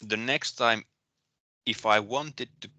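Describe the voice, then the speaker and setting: Man, Finnish accent, lecturer, slow delivery, bright dry close sound, frequent ums. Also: lowish voice, no room sound. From Finland. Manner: hesitant, many ums and restarts. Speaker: university lecturer. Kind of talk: recorded programming lecture with screen share.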